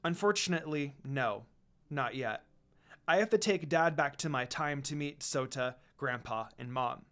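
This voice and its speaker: A man's voice: medium pitch, normal speed, fairly bright tone, lightly acted. A man voicing a cheerful teenage girl, an affectionate daughter, in an anime fan story reading. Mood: warm, earnest.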